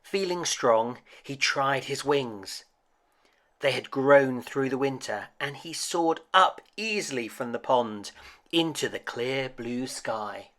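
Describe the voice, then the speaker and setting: A man reading a children's picture book aloud as a storyteller, with an expressive, deliberate delivery. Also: mid-range voice. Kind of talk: scripted children's story narration.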